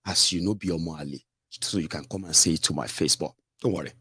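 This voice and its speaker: A man's voice: very deep voice, fast talker, sharp tone, husky, dry recording, studio quality. A middle-aged Nigerian man giving combative, mocking political commentary with rhetorical asides. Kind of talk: opinionated solo commentary.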